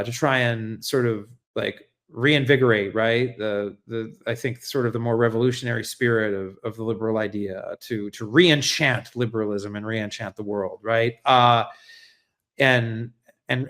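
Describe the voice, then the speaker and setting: An American man with a middle-range voice talks off the cuff to a livestream audience. He is earnest and reflective, feeling his way through each thought. His phrasing is halting, with repeated words and frequent tag questions. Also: medium pitch, fairly bright tone. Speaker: livestream host and essayist. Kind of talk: unscripted livestream monologue on politics and philosophy.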